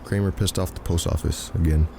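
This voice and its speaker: A man's voice: low voice, steady tone, a little fast, vocal fry, very noisy. A man acting a guarded, world-weary covert operative in an audio drama, with a laconic, dry delivery. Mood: guarded, coded.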